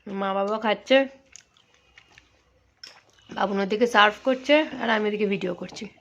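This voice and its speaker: A woman's voice: medium pitch, measured pace, fairly bright tone. A young woman, chatting informally to viewers as she narrates a casual family vlog. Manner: chatty, informal, mixes in English greetings. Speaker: vlogger. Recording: dull lo-fi home video, faint noise.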